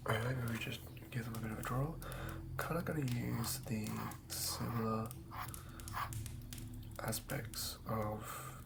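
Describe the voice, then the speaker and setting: A man giving a whispered, improvised ASMR narration as a game master, close to the mic, murmuring in a halting way as he thinks aloud. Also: mid-range voice, creaky, heavy background noise.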